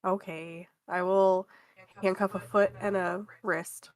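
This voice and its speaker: A woman's voice: medium pitch, flat delivery, takes it slowly. A woman chatting casually as a player in a tabletop roleplaying game.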